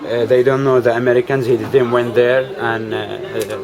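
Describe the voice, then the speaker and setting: A man with a Palestinian Arabic accent, interpreting street interviews in halting, ungrammatical English. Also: mid-range voice, little pitch movement, a crowd in the background. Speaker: street interpreter translating questions and answers. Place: outdoors on a street. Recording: busy street noise, passing vehicles.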